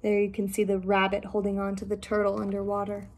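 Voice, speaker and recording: A woman's voice: medium pitch, monotone, quick pace, a little mellow. A woman narrating a children's story aloud as a storyteller.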